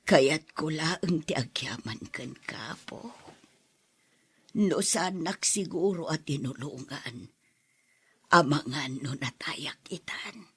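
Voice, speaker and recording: A woman playing a remorseful, frail elderly grandmother in a radio drama, with a husky, breathy voice. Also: low-pitched, animated, slow pace, full character voice. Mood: grateful, contrite. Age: older. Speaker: drama actor.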